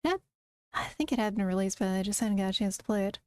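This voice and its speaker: A woman's voice: medium pitch, lively intonation, fast, no echo, clean studio sound. A woman streamer chatting casually to her viewers in a rambling, talkative way. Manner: rambling, chatty, talks to chat. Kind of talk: casual live-stream chat.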